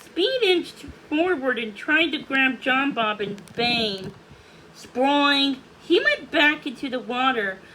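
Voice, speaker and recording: A woman reading a children's story aloud as narrator, halting now and then over words. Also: high-pitched, lively intonation, sharp tone, a little hiss or hum, rough recording.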